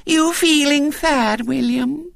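Really high sweet bossy little girl from a kids story, wheedling, swoopy. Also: very animated, measured pace, fairly bright tone, dry recording, clean studio sound.

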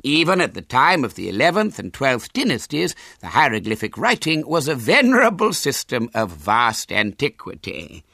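A man voicing a dignified professor giving a formal, measured lecture in a storybook reading. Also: mid-range voice, very animated, vocal fry, sharp tone, no room sound, studio quality, voice pushed up, full character voice. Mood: solemn, scholarly.

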